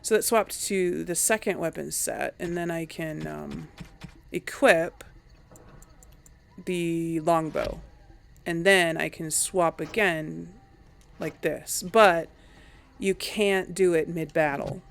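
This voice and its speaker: Slow-talking woman with a creaky voice. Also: lowish voice, steady tone. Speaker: gamer narrating a role-play playthrough. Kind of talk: casual let's play commentary.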